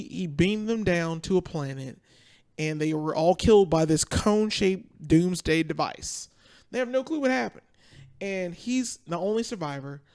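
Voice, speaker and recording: High-pitched man chatting excitedly. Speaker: podcast panelist. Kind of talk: casual chat. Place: podcast studio.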